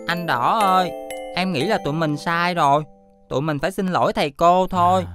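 A woman's voice: lowish voice, normal speed, lightly acted. A woman voicing an earnest, caring little child in a children's cartoon, pleading and eager. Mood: apologetic. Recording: music behind.

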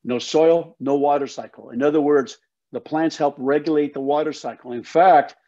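Older man, husky and emphatic. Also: on the high side, steady tone, normal speed, warm tone. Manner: emphatic, folksy, repeats points for emphasis. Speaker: guest, soil health educator. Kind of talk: passionate explanatory interview talk. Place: home office, indoors. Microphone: video call. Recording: slightly dull video call audio.

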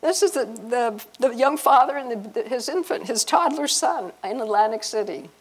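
An older woman presenting an informal family-history slide talk, halting and often restarting her phrases. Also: a little high, lively intonation, slightly rough. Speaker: presenter, speaker at a convention. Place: hotel conference room, before a seated audience.

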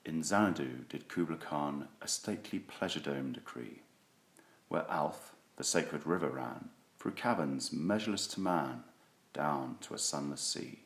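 A man giving a solemn, theatrical recitation of classic poetry, with deliberate phrasing. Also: extremely low pitch, lively intonation. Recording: roomy, echoing sound.

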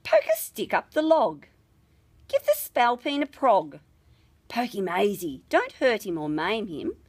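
A woman voicing a jocular, bustling bush priest in an animated, exclamatory storybook reading. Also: mid-range voice, unhurried, breathy edge, heavily acted. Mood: jocular urgency.

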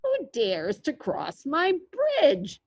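A woman voicing a mean, greedy old male troll in a storybook tale, growling out slow threats. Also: high voice, wildly swooping pitch, darkish tone, no echo, highs cut off, pitched above the performer's own voice, full character voice. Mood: menacing.

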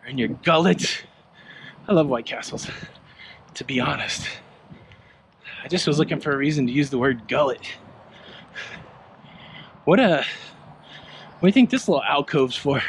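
A man's voice: mid-range voice, expressive, measured pace, pauses often, rough recording. A middle-aged man hosting a casual walking vlog, chatting to viewers and joking at his own expense as he walks through an outdoor park. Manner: chatty, self-deprecating, asks viewers questions. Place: outdoor park in rain and wind. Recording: wind and outdoor noise on a body camera.

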